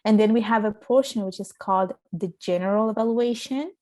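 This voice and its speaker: A woman with a soft, quiet voice, answering interview questions in a thoughtful, explaining way with some fillers. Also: medium pitch, brisk. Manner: soft, not loud voice. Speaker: podcast guest. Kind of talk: casual interview chat.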